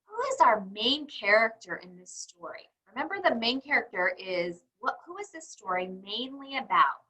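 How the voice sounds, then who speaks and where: A woman reading a storybook to young children, encouraging them and pausing for answers to her questions. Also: a little high, lively intonation, bright, forceful tone, slightly rough, low-quality recording. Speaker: read-aloud teacher for young children.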